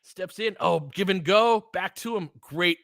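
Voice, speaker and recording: A man's voice: sits high, measured pace, creaky, fairly bright tone, no room sound, clean studio sound. A man giving casual, slangy live basketball play-by-play as a partisan fan host, often in short clipped phrases.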